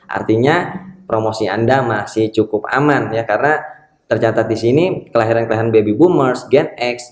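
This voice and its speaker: A man who works as an SEO marketer, reading a news article aloud and adding casual comments with frequent tag words. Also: lowish voice. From Indonesia. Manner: reads passages, then comments with frequent "ya" and "nih" tags. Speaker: SEO marketer and channel host. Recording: echoey room.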